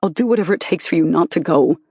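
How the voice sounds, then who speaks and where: An audiobook narrator voicing a remorseful husband who softly begs his wife to stay. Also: mid-range voice, lively intonation, quick pace, husky, no room sound. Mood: pleading. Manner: pleading, soft, contrite. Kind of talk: audiobook character reading.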